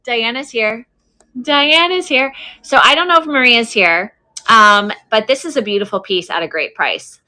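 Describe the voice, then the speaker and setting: A woman's voice: a little high, lively intonation, normal speed, pushed and bright. A woman hosting a live online sale in casual chat, effusive and chatty, often repeating words for emphasis. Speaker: host of an online selling livestream.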